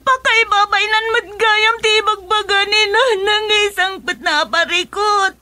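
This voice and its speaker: A very high, bright child's voice with a Filipino accent. The heartbroken youngster speaks slowly and tearfully, as in an emotional radio soap. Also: female voice, fairly even intonation, exaggerated voice. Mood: tearful, ashamed. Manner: plaintive, tearful. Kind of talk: Ilocano radio drama acting.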